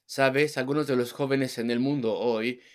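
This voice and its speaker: A man's voice: mid-range voice, steady tone, normal speed. A man preaching an evangelistic sermon, driving his points home with rhetorical questions and repeated words in lists.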